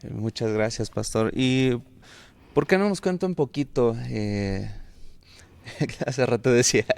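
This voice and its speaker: A man's voice: medium pitch, slow, creaky, a little mellow, smooth. A man hosting a podcast interview in a friendly manner, with fillers and light laughs. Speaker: podcast host.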